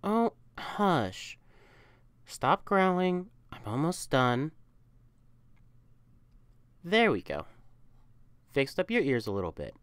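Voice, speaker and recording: A woman's voice: sits low, big pitch swings, slow, pauses often, clean tone, dry recording, heavily acted. A young woman voicing an affectionate, teasing, protective catboy boyfriend in an intimate, coaxing ASMR roleplay. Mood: playful, chiding. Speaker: audio roleplay performer.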